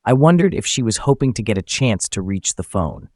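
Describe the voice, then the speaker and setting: A man's voice: on the low side, very animated, a little fast, darkish tone, no echo, studio quality. A man reading a scripted first-person story as narrator, acting out the dialogue as he goes. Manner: acts out the dialogue.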